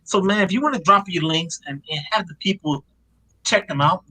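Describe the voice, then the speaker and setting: A man hosting a comic book panel on a live stream, laid-back and loose. His voice sits a bit high and sounds bright and forceful, and he drops "man" into most sentences. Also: a little fast, rough recording.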